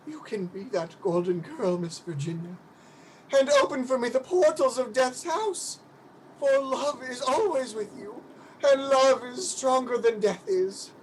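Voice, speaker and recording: A woman's voice: a little high, unhurried, a little mellow, slight background noise, natural voice, rough recording. A woman playing a mournful, centuries-old male ghost in a radio play, grave and pleading, with archaic phrasing. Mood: solemn, tender.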